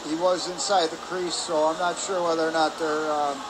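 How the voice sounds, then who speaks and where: A man giving conversational, slightly halting colour commentary at a live hockey game. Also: on the high side, level tone. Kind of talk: live sports analysis. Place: spectator area of an indoor ice rink. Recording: noisy arena hum behind the voice.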